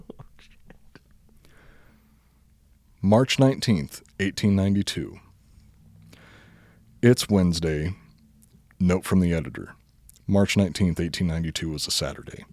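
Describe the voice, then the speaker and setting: Deep-voiced man reading a comic story. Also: a little slow, pauses often, a little mellow, dry recording. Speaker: podcast host reading a story.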